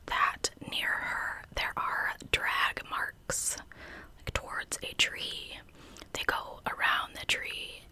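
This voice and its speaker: Whispering woman, bright crisp edge, crackly texture, ASMR storytelling, close mic. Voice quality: breathy, unvoiced whisper. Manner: hushed storytelling with informal asides. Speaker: true crime ASMR host. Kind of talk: whispered ASMR true crime narration.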